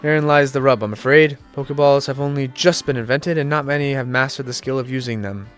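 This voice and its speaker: A man's voice: medium pitch, steady tone, a little fast, fairly bright tone, faint music behind, lightly acted. A courteous, scholarly professor from a video game, read aloud by a man. The voice is nasal and congested from illness, and the delivery is earnest and formal. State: sick, congested. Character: polite, scholarly male professor, formal and eager.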